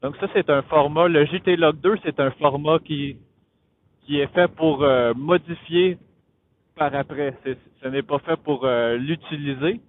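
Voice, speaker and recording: A Quebec man talks through technical details in a casual, hesitant vlogging style. His voice sits a little high for a man. It comes through a narrow, phone-like helmet intercom, with faint riding noise behind it. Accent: Quebec French. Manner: hesitant, halting, thinking aloud. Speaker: motovlogger, host of his own channel. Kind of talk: casual tech explainer vlog while riding. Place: on a motorcycle outdoors. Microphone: Bluetooth helmet intercom.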